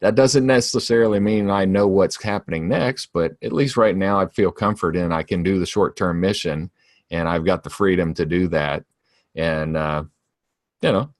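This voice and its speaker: A man's voice: low-pitched, moderate pace, dry recording, studio quality. A man in his forties co-hosting a podcast, chatting casually, rambling with many restarts and laughing often. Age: 40s. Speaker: podcast co-host. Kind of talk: casual chat.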